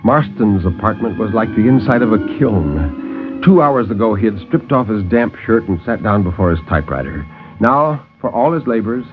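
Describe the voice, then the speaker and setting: A man reading a horror story theatrically, in a literary 1950s radio storytelling style, on a muffled old recording with music. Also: lowish voice. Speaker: storyteller, co-host.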